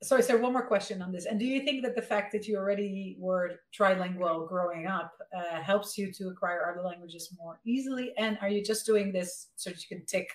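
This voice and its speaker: A woman's voice: mid-range voice, fairly quick, hardly any pauses, roomy sound. A woman co-hosting a casual conversation who jumps in eagerly with follow-up questions. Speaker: co-host.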